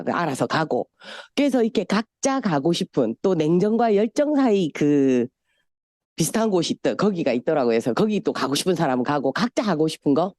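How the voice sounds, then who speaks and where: A woman's voice: on the low side, mellow, dry recording, clean studio sound. Chatty middle-aged woman with Gyeongsang accent. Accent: Gyeongsang Korean. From Busan, South Korea. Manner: chatty, storytelling, sometimes mimics voices. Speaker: speaker at a business seminar. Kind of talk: motivational seminar talk.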